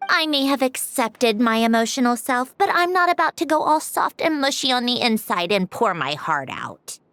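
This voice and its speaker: A woman's voice: on the high side, big pitch swings, normal speed, a bit bright, no room sound. A woman acting an aloof, sardonic young woman in a video game, guarded and matter-of-fact. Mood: wry, guarded. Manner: wry, dismissive, matter-of-fact. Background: music.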